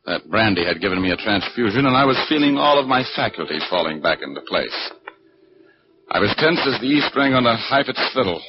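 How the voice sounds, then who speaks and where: Wry, slangy male gumshoe played by an actor, low voice, vintage muffled radio drama sound. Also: background noise, lightly acted. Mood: tense narrating. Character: wisecracking tough male private detective, narrating and scheming. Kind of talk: 1940s radio detective acting, first-person narration. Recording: dull 1940s radio broadcast.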